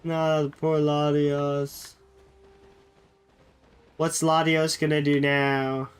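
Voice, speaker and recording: A man's voice: on the high side, flat delivery, extremely slow, smooth, soft background music. A man streaming a game while sick with a cold, sounding nasal and chatting loosely with viewers, often correcting himself. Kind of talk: casual live-stream chat.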